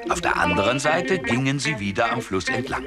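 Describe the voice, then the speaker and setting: A man's voice: mid-range voice, lively intonation, a little fast, over loud music. A man narrating a scripted children's story as the storyteller.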